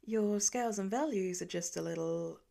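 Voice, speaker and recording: A woman playing a patient, matter-of-fact boy, an older brother, in an audio story with a low-key, measured delivery. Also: creaky, dark tone.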